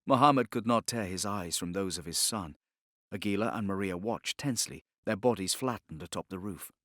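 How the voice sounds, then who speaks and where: A male audiobook narrator with a fairly low voice reads dramatic fiction. His delivery is measured and solemn, carefully scripted, and the recording is close and dry with no room sound. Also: fairly bright tone, dry recording.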